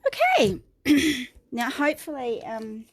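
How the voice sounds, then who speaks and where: A middle-aged woman with a New Zealand accent, croaky this morning, chatting through a livestream craft tutorial and greeting viewers by name. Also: high voice, huge pitch swings, slow pace. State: croaky, clearing her throat.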